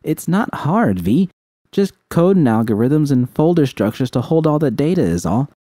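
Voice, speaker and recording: A man's voice: fairly high pitch, big pitch swings, moderate pace, dark tone, dry recording, clean studio sound, pitched above the performer's own voice. A man voicing a geeky, playful, sardonic young woman in audiobook dialogue. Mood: teasing, geeky. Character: techy, teasing young woman, sardonic and warm-hearted.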